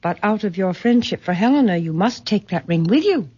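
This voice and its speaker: A woman's voice: medium pitch, lively intonation, a little fast, a little mellow, husky. An actress playing an imperious, bitter middle-aged mother in a haughty, formal, commanding old-time radio style. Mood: insistent, imperious. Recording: dull, lo-fi vintage radio recording.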